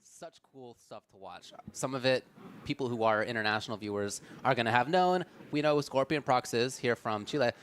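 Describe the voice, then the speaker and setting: A man commentating a live fighting-game tournament, enthusiastic and analytical, speaking in quick bursts. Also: medium pitch, fairly bright tone, slight background noise. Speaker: esports commentator.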